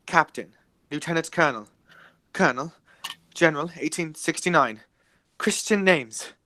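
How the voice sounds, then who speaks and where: A performer playing an earnest, romantic young English gentleman, declaiming in a radio play of a stage comedy. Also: medium pitch.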